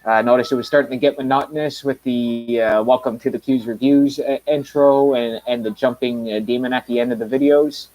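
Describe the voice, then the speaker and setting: A man in his mid-thirties talks loosely on his own live stream, wandering between thoughts with plenty of uhs and restarts. His voice sits at an ordinary middle pitch for a man. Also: fairly even intonation, fairly quick, few pauses, darkish tone, low-quality recording. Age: 30s. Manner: rambling, many fillers and restarts. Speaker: channel host. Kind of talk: casual live stream chat.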